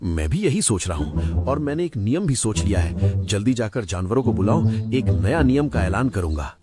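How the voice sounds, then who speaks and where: A man's voice: lowish voice, very animated, normal speed, loud music behind the voice. Man voicing a scheming cartoon wolf. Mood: smug. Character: scheming sickly wolf who craves being king. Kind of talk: Hindi animated moral story acting.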